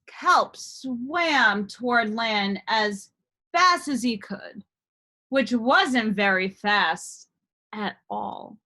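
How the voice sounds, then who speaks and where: A woman reads a children's story aloud in a bright, fairly high voice. Her pitch swings widely and she takes her time, leaning on key words with deliberate, dramatic emphasis. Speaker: storyteller reading a picture book aloud. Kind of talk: expressive children's read-aloud narration.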